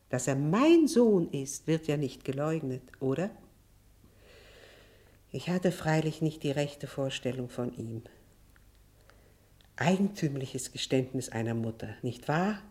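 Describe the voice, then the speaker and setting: Radio drama role: proud, accusing estranged wife and mother, lowish voice, very slow, theatrical and eloquent. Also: fairly bright tone. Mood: bitter, reproachful. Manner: deliberate, theatrical, eloquent delivery. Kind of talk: German radio drama acting.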